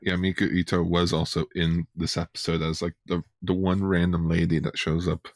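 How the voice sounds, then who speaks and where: A man co-hosting a podcast in casual fan chat, often halting and repeating words as he goes. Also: low-pitched, level tone, a little mellow, no echo, studio quality. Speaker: podcast co-host.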